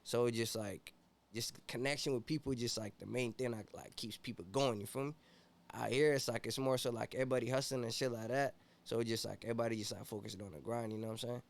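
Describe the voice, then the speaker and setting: A man's voice: mid-range voice, fairly even intonation, fast talker, gravelly. A young man from Ethiopia, a rapper guest in a casual interview, talking laid-back and slangy with constant "you feel me" fillers.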